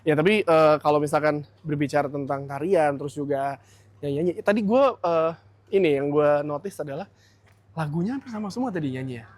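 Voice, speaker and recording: A man's voice: on the high side, fairly quick, a little mellow, no echo. A man with a Jakarta accent co-hosting a festival show, chatting in teasing, slangy banter at an outdoor event. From Jakarta area. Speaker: co-host. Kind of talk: casual chat.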